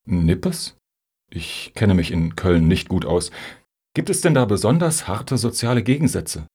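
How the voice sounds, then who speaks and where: A man's voice: on the low side, very animated, unhurried, dark tone, breathy edge, exaggerated voice. A man playing a naive, tentative questioner on a panel in a satirical radio play.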